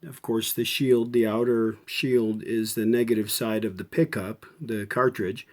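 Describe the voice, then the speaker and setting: A man's voice: lowish voice, fairly even intonation, a little slow, darkish tone. A man giving an unscripted, hands-on repair demonstration as a restorer, explaining things step by step in a folksy way.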